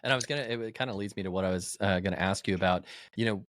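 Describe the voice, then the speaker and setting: A man with a low voice co-hosting a laid-back interview. He winds through his questions with many fillers and restarts, and the recording is dry and close. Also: a bit bright, no echo. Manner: rambling, halting questions full of fillers. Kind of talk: casual interview chat.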